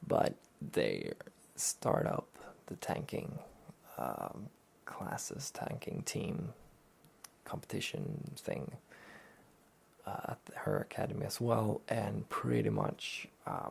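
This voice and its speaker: Soft-spoken man, very creaky, hesitant. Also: deep voice, wildly swooping pitch, slow pace. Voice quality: soft, breathy, often near-whisper. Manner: hesitant, rambling, understated. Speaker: host of a soft-spoken anime talk show. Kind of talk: soft-spoken relaxed anime review.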